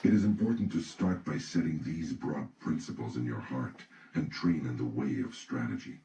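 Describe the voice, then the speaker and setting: A man reading an audiobook from a script in a solemn, deliberate, instructional way. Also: extremely low pitch, warm tone. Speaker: audiobook reader. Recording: roomy, distant mic, faint noise.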